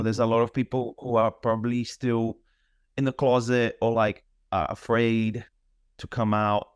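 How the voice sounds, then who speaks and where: A man's voice: fairly low pitch, no room sound, clean studio sound. A man in his thirties from Brazil, a Portuguese speaker, chatting in an interview as a fitness instructor, thoughtful and full of fillers. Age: 30s. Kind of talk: casual interview chat.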